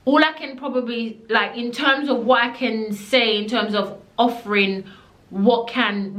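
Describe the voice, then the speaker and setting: A woman's voice: mid-range voice, a bit bright, roomy sound. A young woman from Jamaica with a Jamaican accent, telling a blunt, emphatic storytime to camera and slipping into patois. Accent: Jamaican, living in the UK. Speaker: vlogger. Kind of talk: storytime vlog.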